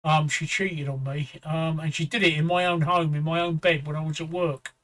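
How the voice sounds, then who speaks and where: Bright-toned man in his fifties chatting. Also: on the high side, steady tone, normal speed. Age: 50s. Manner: blunt, swearing, rambling storytelling. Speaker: streamer host. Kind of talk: casual chat.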